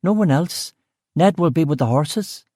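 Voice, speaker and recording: A blunt, wary middle-aged cook with a West Belfast accent, put on by a man reading an audiobook. Her lines come out short and clipped in a pitch raised high above the reader's own. The sound is mellow and dry, close to the mic. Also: expressive, a little slow, dry recording. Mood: curt. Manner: curt, clipped local speech.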